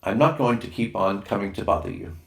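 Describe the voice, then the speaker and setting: A man voicing a kindly, brisk mother who playfully poses as a native in a storybook. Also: lowish voice, expressive, creaky. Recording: roomy, echoing room sound.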